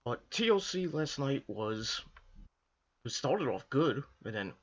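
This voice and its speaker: A hoarse man from the Northeastern US, coming down with a cold, rambling casually through a wrestling review with lots of restarts and fillers. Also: mid-range voice, sing-song, slow, highs cut off. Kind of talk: casual solo commentary to camera.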